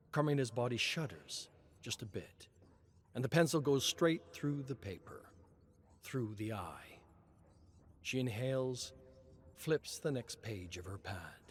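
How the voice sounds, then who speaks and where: A man reading a scripted dramatic story as narrator in a measured, suspenseful manner. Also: medium pitch, slight background noise.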